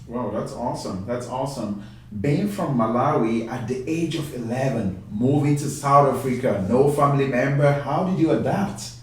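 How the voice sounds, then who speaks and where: Male talk show host, mid-pitched and effusive, heavy room echo, distant mic. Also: moderate pace. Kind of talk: interview.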